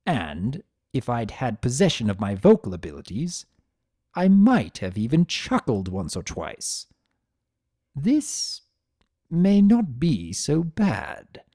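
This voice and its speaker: A wry male trickster spirit acted out by a story reader, narrating his own tale. The mellow voice swings widely in pitch, sarcastic and grumbling, with theatrical flair. The sound is close and dry. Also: wildly swooping pitch, takes it slowly, no echo, heavily acted. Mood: amused, dry. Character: Discord, sardonic, grumbling male spirit of chaos turned statue. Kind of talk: first-person dramatic storytelling.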